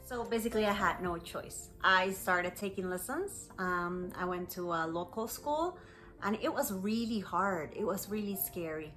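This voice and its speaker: A woman English teacher speaks in a bright, forward voice with a Costa Rican Spanish accent. She tells her story to learners in clear, encouraging phrases, at a medium pitch, with music in the recording. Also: animated, normal speed. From Costa Rica. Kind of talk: personal story told to learners.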